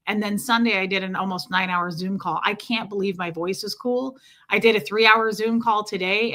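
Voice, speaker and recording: A woman hosting a casual livestream, chatting warmly and greeting viewers by name as she answers their comments. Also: medium pitch. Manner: chatty and warm toward viewers, many fillers. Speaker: livestream host.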